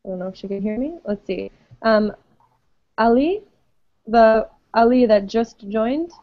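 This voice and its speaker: A woman who teaches English, leading an online group class in a patient, friendly way with many pauses and ums. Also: a little high, dry recording. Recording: dull lo-fi web call audio.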